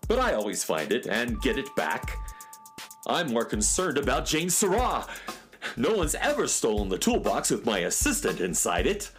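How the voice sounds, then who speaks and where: A man's voice: mid-range voice, huge pitch swings, moderate pace, a bit bright, soft background music. A man playing an eccentric handyman of the galaxy, part scientist and part magician, fussy and theatrical, in a children's radio drama. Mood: worried.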